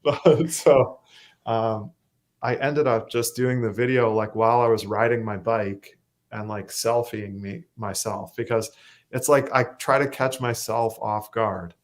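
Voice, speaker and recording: A Canadian man with a self-described monotone voice, talking casually as a musician guest, rambling with fillers and restarts. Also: medium pitch, unhurried. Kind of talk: casual interview chat.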